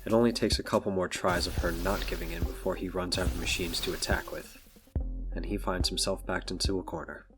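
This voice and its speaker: A man's voice: low voice, steady tone, a little fast. A man narrating a scripted audio drama in the third person. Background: background music.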